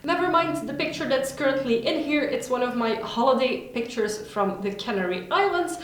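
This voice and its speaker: Woman, native Dutch speaker, slightly high voice, upbeat, heavy room echo. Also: animated, normal speed. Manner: upbeat, chatty, enthusiastic. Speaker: vlogger. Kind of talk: casual recommendations vlog. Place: home room. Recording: very echoey room.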